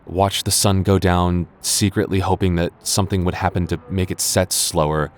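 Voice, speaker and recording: Casting: male actor for a low-pitched young childhood friend in a romance audio roleplay, defensive yet smitten, hesitant and stumbling over words. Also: steady tone, a little hiss or hum, close to an everyday voice. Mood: wistful. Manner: halting, stammering, hesitant. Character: young man, flustered, defensive childhood friend secretly in love.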